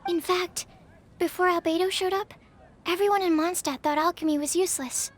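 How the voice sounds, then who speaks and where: A woman's voice: very high-pitched, steady tone, fairly quick, some chatter behind. Shy young female alchemist. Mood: polite. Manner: timid, apologetic, stammers. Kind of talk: video game cutscene dialogue. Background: game music.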